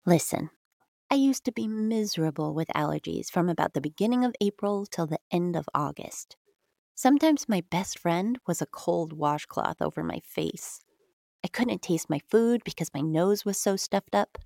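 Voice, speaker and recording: A woman's voice: lowish voice, lively intonation, normal speed, vocal fry, darkish tone, no echo, clean studio sound. A woman delivering a scripted, first-person sponsor read for a podcast.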